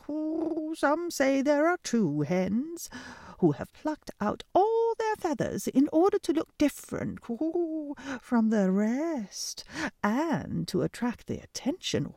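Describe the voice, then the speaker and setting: A woman's voice: high-pitched, vocal fry, warm tone, no echo, studio quality, pitched above the performer's own voice, full character voice. A woman voicing a flock of eager, gossiping pigeons in a storybook tale, cooing and sighing "oh" between words.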